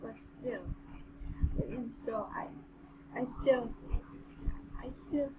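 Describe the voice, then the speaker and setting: A woman voicing a dying young girl, humble and resigned, speaking softly and haltingly in an amateur audio drama reading. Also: fairly high pitch, whispered, voice pushed up. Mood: fading, halting. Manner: soft, halting, sighing. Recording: clearly noisy room recording.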